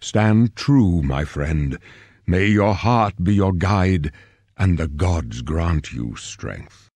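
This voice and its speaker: An actor playing a solemn old emperor in a fantasy game, stately, measured and prophetic. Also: male voice, sits low, expressive, darkish tone, no echo, heavily acted. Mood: resigned, tender. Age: older. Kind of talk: grave fantasy game acting.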